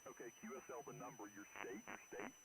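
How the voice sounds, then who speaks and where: A man's voice: fairly low pitch, huge pitch swings, quick pace, fairly bright tone. A man making clipped contest exchanges as an amateur operator, heard over shortwave radio. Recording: faint, noisy ham radio signal.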